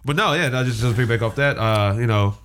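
A man's voice: medium pitch, a little fast, fairly bright tone, a little hiss or hum. A man co-hosting a podcast, wheezy from a cold, talking in a laid-back, joking way with a lot of cursing. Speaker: podcast co-host. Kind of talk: casual podcast banter.

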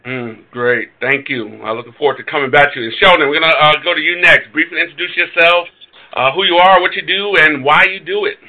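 A man hosting a conference call, introducing guests with frequent halting filler sounds. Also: on the high side, steady tone, narrow, tinny audio. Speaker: call host.

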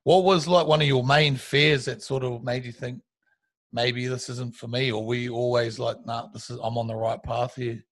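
A man's voice: mid-range voice, steady tone, normal speed, a bit bright. A man from New hosting a casual podcast interview, asking questions in a laid-back way. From New Zealand. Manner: laid-back, repeats short affirmations. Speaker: podcast host.

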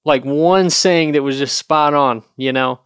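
A man's voice: fairly high pitch, measured pace, a bit bright, smooth, no echo, studio quality. An American man co-hosting a podcast in casual, profane banter, with a crude, joking, slangy way of talking. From United States. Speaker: podcast co-host.

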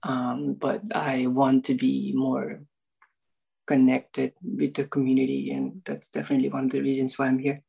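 A man's voice: mid-range voice, completely flat delivery, moderate pace, gravelly, mellow, slightly rough, poor audio. A man from Nepal, new to a meditation group, thinking aloud in a casual discussion and searching for words. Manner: thoughtful, searching for words, frequent ums and rights.

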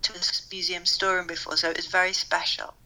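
Soft-spoken woman, gushing. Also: lowish voice, level tone, quick pace, rough recording. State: voice strained from teaching. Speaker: fashion lecturer and podcast co-host. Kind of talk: casual conversation.

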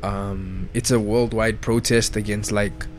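A young man talks football in a loose, slangy rush, swearing freely and circling back on his points. He swings between hyped bursts and low mutters. Faint music plays behind him. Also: unhurried. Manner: slangy, excitable, swears a lot, repeats himself. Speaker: football vlogger. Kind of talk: casual football rant.

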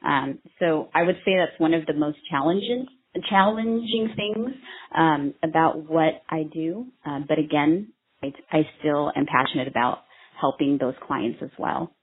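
A woman in her thirties from Vietnam answers interview questions, earnest and hesitant, with many ums. Her voice sits low and a little husky. The sound is thin and tinny, like a phone line. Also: measured pace. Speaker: microblading artist and trainer.

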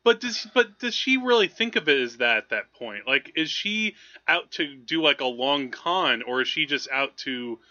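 A man's voice: fairly high pitch, animated, normal speed, bright, forceful tone, highs cut off. A man talking through films casually as a podcast host, in a rambling, conversational way. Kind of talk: casual film discussion.

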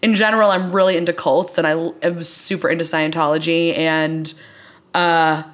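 Woman podcast host, kinda low voice, chatty and really into it, emphatic about tv stuff. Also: flat delivery, normal speed. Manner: enthusiastic, emphatic. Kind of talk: casual TV discussion chat.

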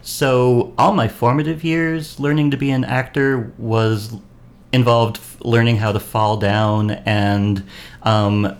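Casting: unhurried man from Maine, rambling podcast chat, mid-pitched voice. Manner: unhurried, rambling with fillers and laughs. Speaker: podcast guest host. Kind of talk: casual chat.

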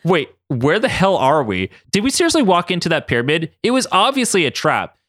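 A man's voice: a little high, huge pitch swings, fairly quick, a bit bright, dry recording, studio quality, pitched above the performer's own voice, heavily acted. A man voicing a sarcastic, bossy young woman in a story, snarky, demanding and easily exasperated. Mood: groggy indignation.

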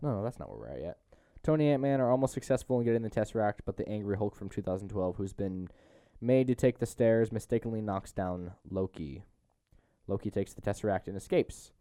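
A man's voice: on the low side, animated, a little fast, mellow, clear, resonant tone, no room sound, studio quality. A young man hosting a podcast, chatting casually about a movie in slangy, opinionated, teasing talk. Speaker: podcast host. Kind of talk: casual movie review chat.

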